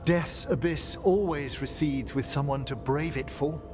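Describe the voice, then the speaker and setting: An actor playing a refined, earnest necromancer scholar in a fantasy game, eloquent and formal with a tender manner. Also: male voice, on the high side, measured pace, over music, natural voice. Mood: wistful.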